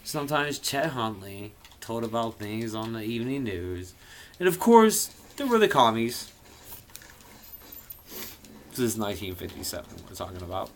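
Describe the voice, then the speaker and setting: A man's voice: on the low side, big pitch swings, moderate pace, pauses often, slight background noise. A man casually reading a novel aloud, theatrical and playful, dropping in irreverent asides of his own. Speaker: amateur reader of a novel.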